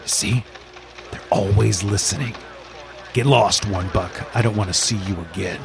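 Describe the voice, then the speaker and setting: A man's voice: on the low side, normal speed, creaky, over music. An actor playing a menacing, scheming tough man in an old-time radio noir drama. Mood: threatening.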